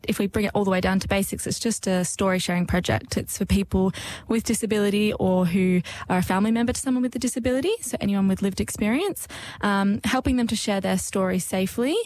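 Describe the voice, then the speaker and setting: A woman's voice: medium pitch, fast, darkish tone, a little hiss or hum. Upbeat young woman, lots of ums. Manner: upbeat, many fillers like um and yeah. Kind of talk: radio interview guest.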